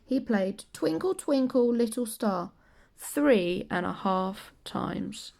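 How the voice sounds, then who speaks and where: Creaky-voiced woman reading a children's story. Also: a little high, animated, slow, darkish tone. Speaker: storybook reader, teacher. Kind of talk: scripted children's story narration.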